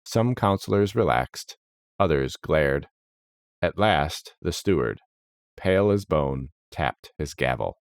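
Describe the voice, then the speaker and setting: A man's voice: extremely low pitch, animated, slow, clear, resonant tone, no room sound, clean studio sound. A man reading scripted fantasy story narration.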